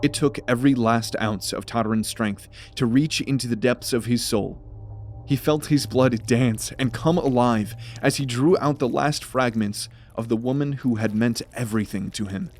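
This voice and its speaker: A man's voice: medium pitch, normal speed. A man narrating a fantasy audio drama as a scripted, dramatic story.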